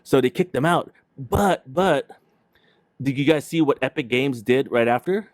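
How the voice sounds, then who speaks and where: A man's voice: medium pitch, lively intonation, moderate pace, dry recording. A man hosting a casual livestream chat in an informal, slangy way. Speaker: host of a video game livestream show.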